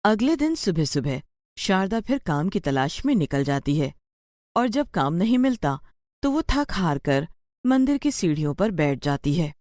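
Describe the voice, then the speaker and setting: Female storyteller for bedtime moral tales, animated mid-range voice, dry studio-like sound. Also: on the low side, unhurried, dry recording. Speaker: narrator. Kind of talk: scripted children's story narration.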